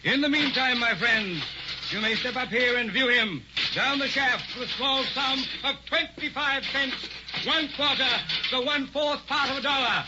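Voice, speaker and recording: An actor playing a smooth, sinister male carnival hypnotist and showman in a 1940s radio drama, with oily, theatrical barker patter. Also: very high voice, normal speed. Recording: dull old radio recording with music.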